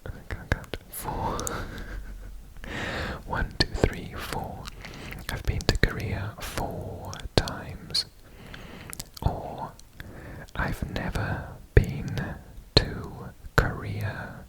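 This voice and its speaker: A man from Wales, whispering a quiz about himself for an ASMR video, repeating single words softly over and over. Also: drawn-out words, low-quality recording. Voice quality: whispered throughout. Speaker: ASMR creator.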